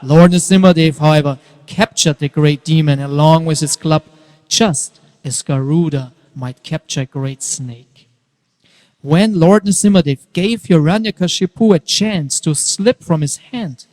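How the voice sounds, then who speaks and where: A man's voice: on the high side, unhurried. Theatrical male narrator in a reverberant hall. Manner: theatrical, emphatic, swelling and hushing for effect. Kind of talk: dramatic reading of scripture. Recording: long reverberant hall echo.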